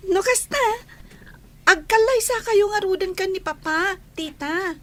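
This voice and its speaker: A woman's voice: very high voice, a bit bright. A woman playing a shy, sweet little girl who speaks hesitantly in an Ilocano radio drama. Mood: sweet, affectionate. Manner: hesitant, slow.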